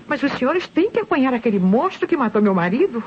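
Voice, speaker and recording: A woman's voice: high voice, sing-song, darkish tone, slightly rough, full character voice. A Brazilian actress playing a frightened widow in a vintage radio mystery, telling what she witnessed with dramatic fear. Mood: horrified recounting. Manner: fearful, dramatic, deliberate storytelling. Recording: noisy, muffled old radio recording.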